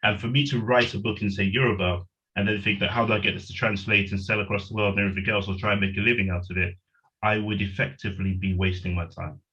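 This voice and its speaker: Man, middle-aged, from Nigeria, writer on a panel, very low voice, lo-fi call sound. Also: flat delivery, fast talker, pushed and bright. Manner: rambling, self-correcting, pragmatic. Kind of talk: discussion, webinar. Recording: dull lo-fi call audio.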